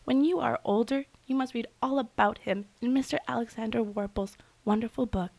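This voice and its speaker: A woman's voice: on the high side, brisk, creaky, darkish tone, no room sound. An actress playing a sweet, genteel young chorus girl in a radio drama, speaking politely. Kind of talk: scripted radio drama acting.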